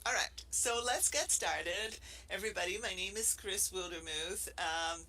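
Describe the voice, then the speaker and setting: A man's voice: sits high, unhurried, vocal fry, pushed and bright, rough recording. A middle-aged man from Brazil with a Brazilian Portuguese accent, presenting casually as a professor, playful and chatty with many restarts. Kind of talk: casual presentation to a room and online audience.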